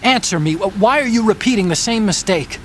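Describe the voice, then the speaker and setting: A man's voice: high voice, animated, fairly quick, a bit bright, slight background noise. A man playing an anxious, hesitant, emotional older brother in a dramatic video game cutscene. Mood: agitated. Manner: hesitant, agitated.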